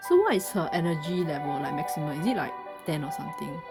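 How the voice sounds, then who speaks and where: Low-voiced woman commenting on a game. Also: animated, fast talker. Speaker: game streamer. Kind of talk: casual gameplay commentary. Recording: game music behind the voice. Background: game music.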